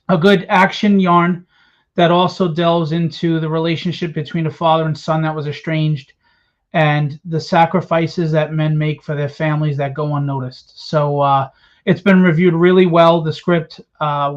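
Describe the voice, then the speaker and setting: A middle-aged man speaking as a panel guest, plain-spoken, earnest and a little halting as he casually promotes his work. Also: fairly high pitch. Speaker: panel guest, indie comics writer and publisher. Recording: slightly dull, lo-fi call audio.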